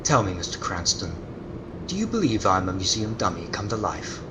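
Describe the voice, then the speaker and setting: An actor playing a coolly polite, menacing master criminal in a 1940s-style radio drama, with formal, measured, mock-courteous phrasing. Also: male voice, on the low side, very animated, noisy background. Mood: smug, controlled.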